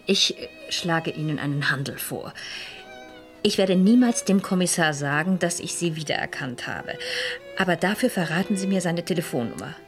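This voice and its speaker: An actress playing a composed, persuasive French madame who speaks and narrates in a measured way in a radio crime drama. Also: female voice, lowish voice, expressive, faint music behind, close to an everyday voice. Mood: coaxing, assured. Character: composed, persuasive French madame recounting her own mystery.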